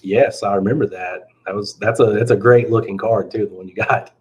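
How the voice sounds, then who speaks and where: A man co-hosting a sports card podcast, chatting in a friendly, halting way. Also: lowish voice, fairly even intonation, a little mellow, husky. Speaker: podcast co-host. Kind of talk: casual sports card chat.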